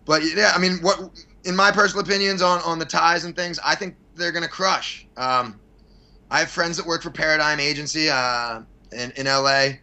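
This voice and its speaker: Guy on a podcast as the guest, punchy bright voice, rambly casual chat, blunt opinions, bit sweary. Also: a little high, steady tone, normal speed. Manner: blunt, opinionated, mildly profane. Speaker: podcast guest, fight promoter.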